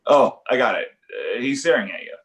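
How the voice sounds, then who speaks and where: A man playing a wary, hesitant, casual dad in an animated comedy table read, recorded in an echoey room. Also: medium pitch, a little fast. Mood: nervous, casual. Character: wary, low-key young dad of talking baby.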